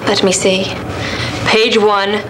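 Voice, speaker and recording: An actress playing a writer's musing inner voice, in measured, poetic radio-play narration on an old analog recording. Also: female voice, mid-range voice, little pitch movement, close to an everyday voice. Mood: wistful. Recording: noticeable ambient hiss, old analog transfer.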